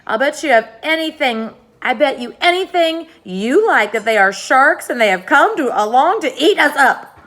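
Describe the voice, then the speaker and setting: A mom reading as a shrill panicky earthworm. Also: female voice, lively intonation, moderate pace, fairly bright tone. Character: nervous wailing earthworm, fat and gloomy.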